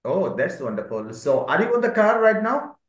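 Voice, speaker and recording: A man's voice: high voice, sing-song, normal speed. A man teaching an online spoken English class, calling on students and praising their answers. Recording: roomy echo.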